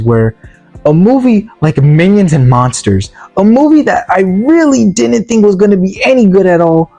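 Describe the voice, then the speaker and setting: Rambling man with a mellow, tired voice. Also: a little high. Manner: rambling, heavy on fillers and restarts. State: tired, worn-out voice. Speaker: video reviewer. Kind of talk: casual spoken movie review.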